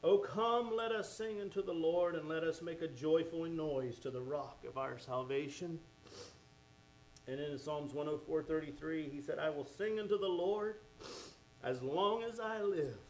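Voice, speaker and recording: A male preacher delivers a sermon in a fervent manner. His voice sits a little high, and he keeps exclaiming as he goes. A faint hum runs behind him. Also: unhurried, a little mellow, low-quality recording.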